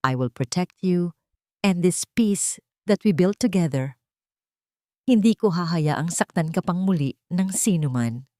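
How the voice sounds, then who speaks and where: A woman with a Filipino accent voicing a gentle, devoted man in a romance story, soft-spoken and earnest. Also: on the low side, expressive, a little slow, dry recording, clean studio sound. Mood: tender, earnest.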